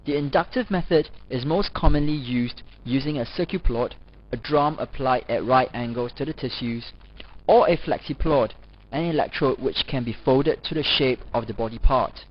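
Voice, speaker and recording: A man reading scripted educational narration as a lecturer, in a formal, measured manner. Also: medium pitch. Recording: dull, lo-fi voice-over recording.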